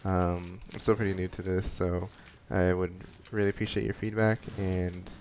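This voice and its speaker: A man's voice: sits low, flat delivery, normal speed, darkish tone, dull audio, a little hiss or hum. A man giving laid-back, rambling casual commentary over a video game. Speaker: gaming commentator.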